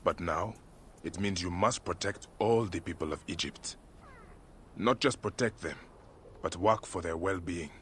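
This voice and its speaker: An actor playing a patient Egyptian father and protector, measured and instructive in a naturalistic game scene. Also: low-pitched, a bit bright, slight background noise. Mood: tender, reflective. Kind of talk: naturalistic game cutscene acting.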